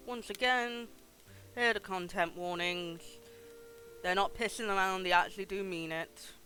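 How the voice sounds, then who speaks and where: Male livestream host, high pitch, bright tone, informal and sweary game commentary. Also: steady tone, takes it slowly, long pauses, faint music behind, poor audio.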